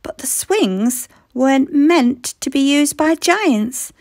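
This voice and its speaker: A woman narrates a children's storybook with plenty of expression. Her voice is high and very melodic, and she reads slowly in a close, dry sound. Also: expressive, darkish tone, no room sound.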